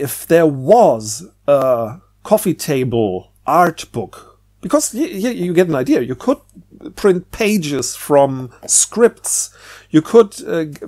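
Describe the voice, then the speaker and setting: A man's voice: fairly high pitch, a little mellow. A man hosting a casual, unscripted book review, talking in a hesitant, rambling way with repeated words. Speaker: book review video host.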